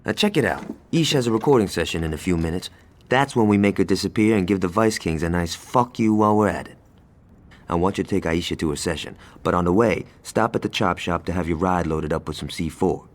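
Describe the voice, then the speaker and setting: An actor playing a cocky, hot-tempered young gang lieutenant in a video game, brash and sarcastic, with a lot of swearing. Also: male voice, low voice, brisk, a little hiss or hum, lightly acted. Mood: brisk. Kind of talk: video game cutscene acting.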